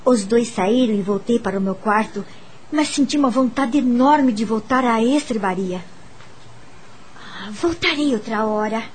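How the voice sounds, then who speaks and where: Radio drama lead: woman playing an uneasy young Brazilian governess, high, expressive, faint background noise. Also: measured pace, lightly acted. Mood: friendly, curious. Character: curious, uneasy young live-in woman teacher. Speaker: lead actress and narrator. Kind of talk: radio drama narration and dialogue.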